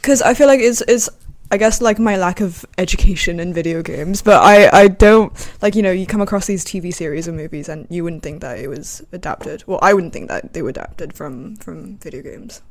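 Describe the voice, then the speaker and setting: A woman's voice: fairly low pitch, a little fast. A woman in a casual podcast chat, answering hesitantly and tentatively.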